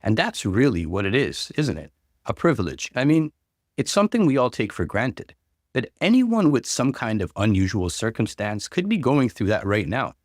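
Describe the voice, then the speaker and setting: A man hosting a story channel, delivering scripted narration and conversational commentary with expressive emphasis. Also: mid-range voice, moderate pace, no room sound, studio quality. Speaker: host reading and commenting on online stories.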